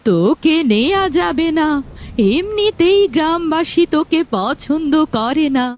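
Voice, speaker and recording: A woman's voice: high-pitched, expressive, measured pace. A woman playing a worried, cautious older village mother in a cartoon fairy tale, fretful and admonishing. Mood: refusing, worried. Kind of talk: animated fairy tale character acting.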